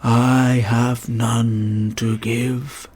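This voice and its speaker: A man voicing the mournful, doomed ghost of a dead businessman in a storybook reading, with slow, wailing declamation. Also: medium pitch, little pitch movement, a little mellow, slightly rough. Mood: anguished, weary.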